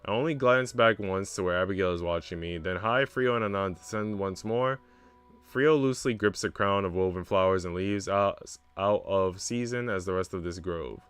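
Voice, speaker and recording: A man's voice: deep voice, normal speed, fairly bright tone, clear, resonant tone. A man streaming a visual novel, reading its narration aloud and adding casual joking asides.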